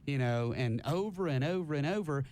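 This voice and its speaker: A man's voice: expressive, normal speed, a little mellow. A man hosting a live band interview on college radio, talking in an effusive, rambling, informal way. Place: radio station, live broadcast. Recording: faint rumbling background noise.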